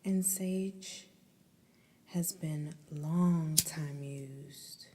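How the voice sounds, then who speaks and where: A woman whispering a guided ASMR meditation in a breathy, soothing, deliberate way, pausing between phrases. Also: deep voice, fairly even intonation, dark tone, slight background noise.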